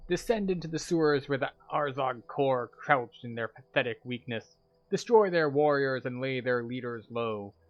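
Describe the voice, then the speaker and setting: A man's voice: medium pitch, animated, normal speed, lightly acted. A man acting an arrogant, scornful warrior, muffled recording. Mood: impressed, commanding. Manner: pompous, theatrical, scornful. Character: arrogant, contemptuous male warrior quest giver hurling insults.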